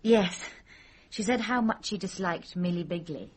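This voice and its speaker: A woman's voice: on the low side, measured pace, breathy edge. A woman playing a frank, composed young woman being questioned in a radio mystery drama. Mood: subdued, frank.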